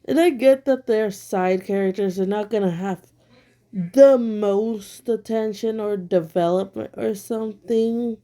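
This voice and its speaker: A woman's voice: mid-range voice, a little slow, warm tone. A woman who is a little sick, her throat still bothering her, giving blunt, critical opinion commentary at home. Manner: blunt and critical, with many fillers. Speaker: online commentator.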